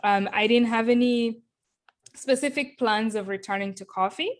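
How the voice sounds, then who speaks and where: A woman from Kenya answering interview questions over a video call, measured and thoughtful, with frequent ums. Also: fairly high pitch. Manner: thoughtful, many ums and uhs. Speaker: guest, company founder.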